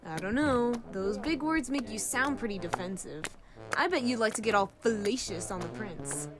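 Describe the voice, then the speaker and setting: A woman playing a cheeky, irreverent, excitable friend who teases and wisecracks in an audio drama. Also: medium pitch, moderate pace, natural voice. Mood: needling. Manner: wisecracking, teasing.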